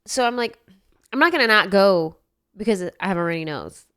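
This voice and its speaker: A woman's voice: medium pitch, expressive, brisk, fairly bright tone, clear, resonant tone, no echo. A congested woman hosting a podcast in a studio, chatting casually and telling stories with wry, self-mocking asides. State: congested with a cold. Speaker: podcast host. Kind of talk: casual chat.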